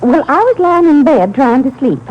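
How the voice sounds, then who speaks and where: High-pitched, fussy older lady gossip. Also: animated, normal speed, darkish tone, lo-fi sound, slight background noise. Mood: fussy, rambling. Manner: rambling, fretful, scandalized. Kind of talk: 1950s radio drama acting. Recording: vintage radio drama recording.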